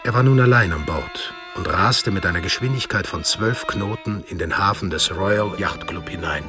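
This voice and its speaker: A man's voice: low voice, normal speed, music in the background. A man narrating a radio drama from a script in standard German.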